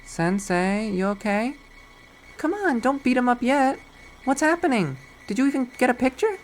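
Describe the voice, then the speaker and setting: A man's voice: extremely high pitch, animated, moderate pace, slight background noise, voice pushed up. A man voicing squealing young fangirls on a phone call. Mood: teasing. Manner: chirpy, teasing, squealing. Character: giggly young female online fans on a phone call.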